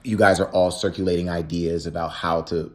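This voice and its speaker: A man's voice: low voice, steady tone, a little fast. A man hosting a podcast interview, easygoing and affirming as he asks long questions. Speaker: podcast host.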